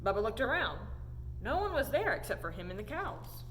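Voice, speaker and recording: A woman reading a storybook aloud in an animated storytelling style. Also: fairly high pitch, brisk. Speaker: story time reader. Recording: echoey hall, audible background noise.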